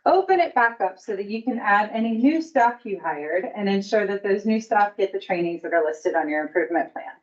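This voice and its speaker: A woman's voice: medium pitch, animated, a little fast, hardly any pauses. A woman presenting at a provider meeting in an upbeat, encouraging way. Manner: upbeat and encouraging, laughs easily. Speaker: early learning coalition staff presenter. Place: meeting room. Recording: dull sound, distant from the mic.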